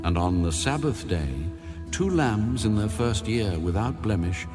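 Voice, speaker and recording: Low-voiced man reading scripture over music. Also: animated, unhurried. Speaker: scripture narrator. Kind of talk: formal scripted audiobook-style reading. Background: background music.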